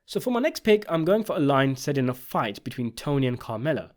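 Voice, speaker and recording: A man's voice: mid-range voice, very animated, brisk, darkish tone. A man narrating a scripted video essay, giving opinionated commentary on a television drama.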